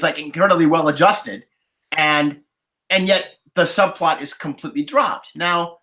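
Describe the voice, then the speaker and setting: A man's voice: fairly high pitch, bright, forceful tone. A man in a casual roundtable discussion as a podcast panelist, explaining things in a digressive way while getting over a cold. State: recovering from a cold, occasional cough.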